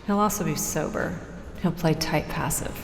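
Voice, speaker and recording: A woman's voice: sits low, moderate pace, vocal fry, background noise. An actress playing a shrewd, cool-headed woman gambler, muttering clipped, calculating asides in an audio drama. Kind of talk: audio drama acting, inner monologue. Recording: roomy, echoing hall reverb.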